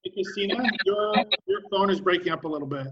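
A man's voice: sits high, fairly quick, fairly bright tone. A man co-hosting a casual interview, speaking briefly and repeating himself. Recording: distant, dull audio.

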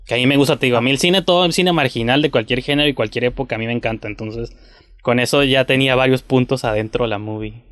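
A man's voice: mid-range voice, fast, fairly bright tone, no echo. Male interviewer, rambling casual chat, dry room. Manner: chatty, rambling, slangy.

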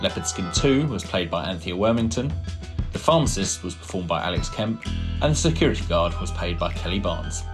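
A man announcing the closing credits of a radio play in a measured, formal way. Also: sits low. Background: music.

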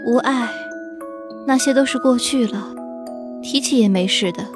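A woman playing an ageless fox spirit in an audio drama, aloof and wistful, gently teasing with hidden fondness. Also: a little high, a little slow, over music, close to an everyday voice. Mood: calm, evasive.

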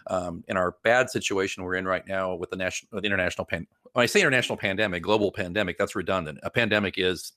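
Low-voiced man, emphatic and rambling. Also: very fast, few pauses, pushed and bright, no room sound, clean studio sound. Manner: emphatic, rambling, self-correcting. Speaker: guest PR crisis consultant. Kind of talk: informal interview explanation with anecdotes.

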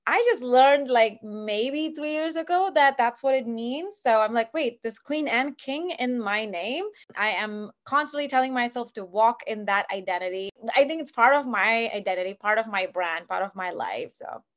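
A woman in her thirties from Kuwait, chatting lively as a podcast guest. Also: a little high, highs cut off. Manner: lively, animated, quick asides. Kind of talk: casual interview chat.

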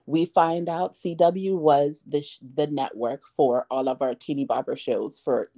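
A woman's voice: deep voice, fairly even intonation, unhurried, warm tone. A woman in her thirties co-hosting a casual talk podcast, making her points emphatically with rhetorical questions. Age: 30s. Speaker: podcast co-host. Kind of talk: casual chat.

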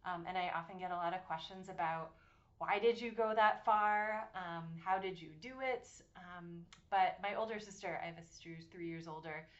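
Low-ish voiced woman in her 40s, earnest and chatty, lots of ums, sound a bit dull and lo-fi. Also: normal speed. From Massachusetts, USA. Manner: warm, earnest storytelling with many ums. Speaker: podcast co-host, university program director. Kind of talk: casual podcast chat.

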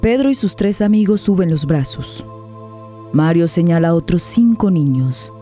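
A woman narrates a radio play from a script. Her tone is extremely mellow and dark, with faint new-age music behind. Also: fairly low pitch, expressive, moderate pace. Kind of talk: dramatic scripted radio theatre narration in Spanish.

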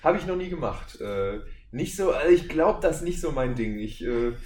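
A man's voice: medium pitch, expressive, normal speed, darkish tone, a little hiss or hum. A man speaking as a podcast guest and voice actor in a casual interview, rambling along in anecdotes. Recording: roomy echo.

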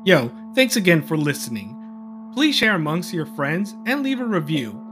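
Male podcast host, high register, informal and exasperated, off-the-cuff opinion commentary. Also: big pitch swings, normal speed, over music. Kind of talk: casual solo opinion commentary, reading aloud from an article.

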